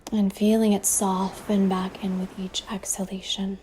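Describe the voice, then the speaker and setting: A woman leading a guided meditation as a teacher, speaking softly and breathily in a soothing way with long pauses, over ocean waves. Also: medium pitch, flat delivery, poor audio. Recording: faint ambient wave noise behind voice. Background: ocean waves sound effect.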